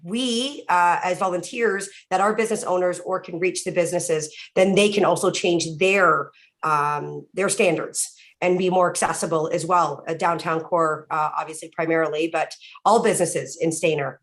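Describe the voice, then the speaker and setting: A woman committee member introducing herself on a video call, loud and talkative. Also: lowish voice, steady tone, normal speed. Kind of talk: casual meeting chat.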